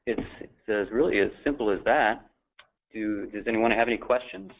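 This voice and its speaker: A man's voice: lowish voice, normal speed. A man presenting a technical webinar, explaining things in an informal, matter-of-fact way. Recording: thin phone-like line.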